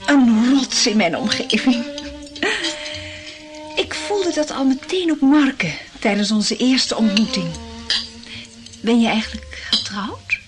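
A high-voiced, emotional woman in a Dutch radio drama, the admiring client of a detective. She speaks slowly, coaxing and affectionate, with music in the recording. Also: animated. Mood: fond, admiring.

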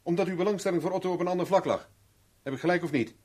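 An actor playing a self-assured, probing private investigator with dry irony in a 1970s radio drama. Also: male voice, high voice, rapid-fire, darkish tone, slightly rough. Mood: pressing, insistent.

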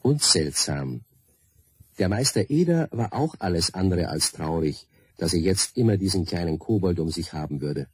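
A man with a husky voice narrating a children's radio play in a playful, wry storytelling manner. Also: low-pitched, lively intonation, moderate pace, no echo. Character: wry male storybook narrator, friendly, unhurried.

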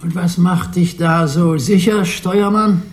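A man's voice: high-pitched, fairly even intonation. An actor playing an old grandfather who acts as a ship's captain, humouring and nautical, in a German children's radio play. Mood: thoughtful, humouring. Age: older. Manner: unhurried, humouring, mock-nautical.

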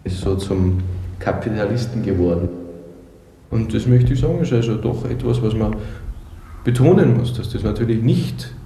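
A man giving his opinion earnestly, with emphatic, measured phrasing. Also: fairly low pitch, mellow. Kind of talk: earnest spoken opinion in an original-sound radio collage. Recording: echoey room with faint noise.

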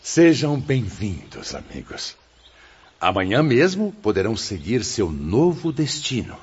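A man acting a kindly, solemn spirit mentor in a radio drama, speaking in a measured, formal, fatherly way. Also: on the low side, huge pitch swings, slightly rough, full character voice. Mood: tender, fatherly. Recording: muffled audio with faint noise.

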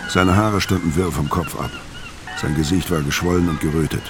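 A man's voice: sits low, brisk, background noise, close to an everyday voice. An actor playing a laconic, world-weary hit man of about forty, narrating a noir crime radio drama in the first person. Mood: brooding, detached. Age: middle-aged. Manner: laconic, clipped replies. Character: terse, world-weary forty-year-old male killer on the run.

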